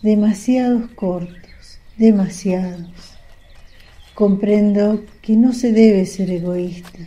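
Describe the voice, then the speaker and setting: Woman playing very mellow, wistful, humble mother. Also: medium pitch, slow, close to an everyday voice. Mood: wistful, resigned. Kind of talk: Spanish religious radio drama.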